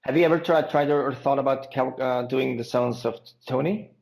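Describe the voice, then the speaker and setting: Gravelly man asking halting questions. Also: mid-range voice, steady tone, darkish tone. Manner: halting, stumbles over words. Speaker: podcast host. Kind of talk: interview questions.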